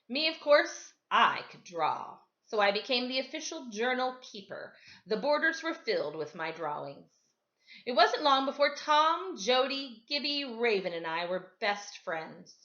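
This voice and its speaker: Expressive woman reading a storybook aloud, bright voice. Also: on the high side, measured pace, lo-fi sound. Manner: animated, dramatizes dialogue. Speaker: storybook reader, teacher.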